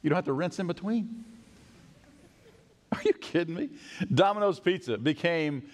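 Middle-aged male pastor, sermon, very lively swooping pitch, long church hall reverb. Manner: animated, acts out quick hurried voices and drops to a hush. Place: large church sanctuary with congregation.

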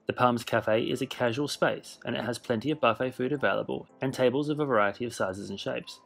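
Gravelly-voiced man narrating a ship tour. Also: mid-range voice, a bit bright, soft background music. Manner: measured, informative, list-style delivery. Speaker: cruise ship reviewer. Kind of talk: scripted voice-over narration.

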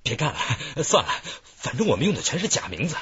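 An uneasy henchman in a radio crime drama. He is husky and hesitant, with very animated intonation. The recording is dry and slightly dull. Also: on the high side, brisk, no echo, exaggerated voice. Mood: uneasy, arguing. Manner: hesitant, arguing with his boss. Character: uneasy male junior gangster, reluctant to kill.

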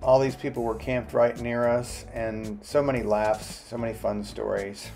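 A man narrating his motorcycle travel vlog in a relaxed, chatty voice-over. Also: mid-range voice, normal speed, vocal fry, over music.

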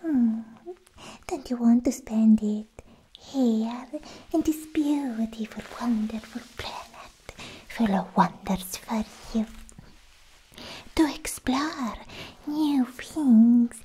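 A young woman playing a sweetly possessive, obsessed alien girlfriend in a whispered, coaxing ASMR roleplay, speaking close to the mic in halting phrases. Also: fairly high pitch, warm tone, full character voice, rough recording. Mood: pleading, coy. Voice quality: breathy, mostly whispered. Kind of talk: soft-spoken ASMR roleplay. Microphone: close ASMR microphone.

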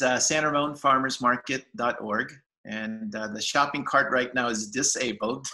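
A man's voice: mid-range voice, sharp tone, low-quality recording. A man in his fifties, a market manager, talking eagerly over a video call with many fillers and restarts. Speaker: farmers market general manager. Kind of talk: casual video-call interview. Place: home room with shelves, indoors. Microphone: laptop webcam on video call.

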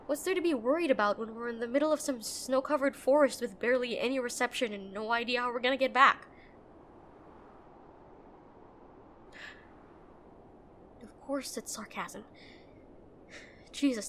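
A woman playing a brusque, protective and frightened tsundere girlfriend in a roleplay audio drama, speaking urgently and haltingly straight to the listener. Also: a little high, a bit bright, a little hiss or hum, natural voice. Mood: brusque, worried. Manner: bossy, urgent, emotional, halting.

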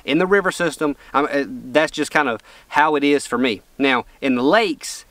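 A man, a pro angler explaining fishing in a relaxed, conversational way, sitting on a boat outdoors. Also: medium pitch, moderate pace, dry recording, far from the mic. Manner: relaxed, conversational, drawling fillers like "kinda" and "you know". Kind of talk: casual instructional vlog.

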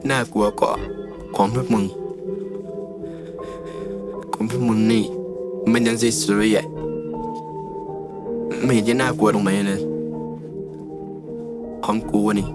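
A man plays a bossy cartoon schoolboy with a lowish voice. He drags his words out very slowly in scornful, rude slang, with music clearly behind him. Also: fairly even intonation, natural voice. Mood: scornful boasting. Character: bossy, scornful schoolboy ringleader of ghost storytelling. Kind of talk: casual cartoon dialogue. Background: clear background music.

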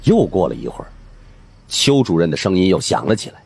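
A man with a husky voice narrating a supernatural mystery audiobook in a dramatic scripted style. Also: sits low, huge pitch swings, fairly quick, darkish tone.

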